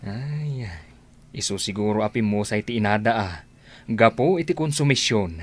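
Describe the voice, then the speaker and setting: An actor playing a familiar, concerned older brother in a radio drama, with an Ilocano accent. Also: male voice, on the low side, expressive, moderate pace. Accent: Ilocano, northern Philippines. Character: concerned older brother of a young woman, teasing host.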